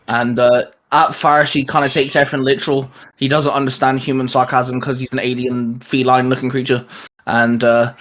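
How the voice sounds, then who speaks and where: A man's voice: medium pitch, fairly bright tone. A man talking enthusiastically and in a rambling way, as a co-host in a casual, geeky fan chat. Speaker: co-host of a fan discussion show.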